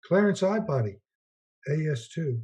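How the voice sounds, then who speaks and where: A soft-toned, husky guardian angel acted by a man in an old-fashioned radio play. He is rather high for a man, naive and eager, speaking with earnest, quaint politeness. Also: animated, unhurried. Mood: kindly, eager. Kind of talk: 1940s-style radio play acting.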